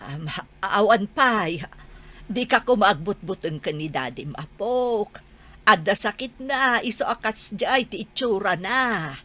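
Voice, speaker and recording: A woman's voice: medium pitch, expressive, slow pace, pushed and bright, heavily acted. A woman playing a caring, plain-spoken grandmother in a radio drama, motherly and admonishing. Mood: soothing. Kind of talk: Ilocano radio drama acting.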